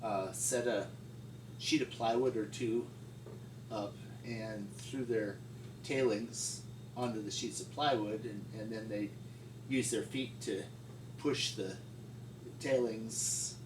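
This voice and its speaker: A middle-aged man reminiscing informally as an interviewee, in a halting, folksy way. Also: lowish voice, steady tone. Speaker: paleontologist interviewee. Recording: distant mic, echoey room, loud noise.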